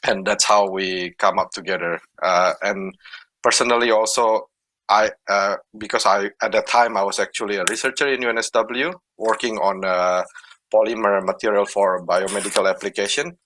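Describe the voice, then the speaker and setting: A man's voice: on the low side, steady tone, moderate pace, bright, forceful tone, a little hiss or hum, rough recording. A man from Indonesia, a startup co-founder recounting his work on a panel. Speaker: startup co-founder and former university researcher. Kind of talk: panel talk, telling how his company began.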